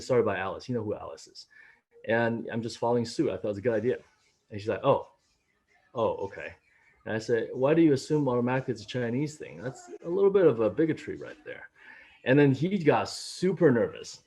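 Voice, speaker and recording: A man's voice: mid-range voice, fast, slightly rough. A man in his late thirties from Taiwan, telling his own experiences on a video call, his voice jumping up when he quotes people. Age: late 30s. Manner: animated storytelling, voice jumps up when quoting. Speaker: panelist. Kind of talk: casual discussion on a video call. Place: home room. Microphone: laptop mic on video call.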